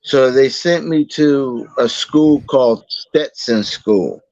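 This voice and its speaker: A man, a guest telling his story slowly in a casual interview, halting with many fillers and restarts. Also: mid-range voice, darkish tone, no echo, dull audio. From Boston, Massachusetts. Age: 40s.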